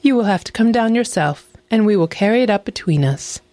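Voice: mid-range voice, lively intonation, fairly quick, a little mellow, no echo, faint music behind, voice pushed up, close to an everyday voice. A storybook narrator voicing a sly female fox, a sweet-talking and mocking trickster who coaxes and exclaims. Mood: coaxing. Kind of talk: animal fairy tale storytelling.

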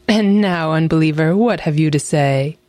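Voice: medium pitch, moderate pace, darkish tone, no room sound, lightly acted. A storybook narrator voicing a sly female fox, a sweet-talking and mocking trickster who coaxes and exclaims. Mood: teasing. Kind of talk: animal fairy tale storytelling.

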